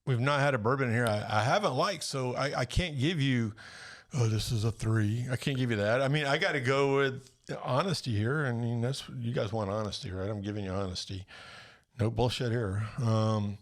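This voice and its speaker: A man's voice: mid-range voice, moderate pace. Wry rambling podcast guy. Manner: rambling, full of restarts and wry jabs. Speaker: podcast co-host. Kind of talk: casual chat over bourbon.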